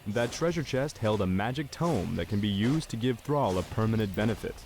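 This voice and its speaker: A game tutorial narrator, with a low voice. The delivery is measured, scripted and helpful, made for instructing a player. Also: male voice, expressive, background noise. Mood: instructive.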